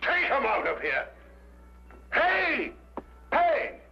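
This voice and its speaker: An exasperated Victorian police inspector, indignant and blustering. His voice is gravelly and swings widely in pitch. The old detective drama recording sounds dull. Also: wildly swooping pitch, drawn-out words, echoey room, music in the background, full character voice. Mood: angry, suspicious. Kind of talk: vintage detective drama acting.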